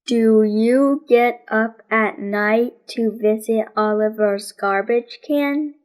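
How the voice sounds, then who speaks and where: Storybook boy detective, played by a woman: serious, deadpan, extremely slow and deliberate, on the higher side. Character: serious, unhurried young boy detective, matter-of-fact. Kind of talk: storybook character reading.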